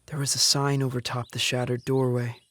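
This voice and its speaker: A man playing a desperate, starving young survivor of an apocalypse in a scripted first-person audio drama, with a measured, grave manner. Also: medium pitch, little pitch movement, fairly bright tone, close to an everyday voice. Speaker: lead actor and narrator. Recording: music under the voice. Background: music.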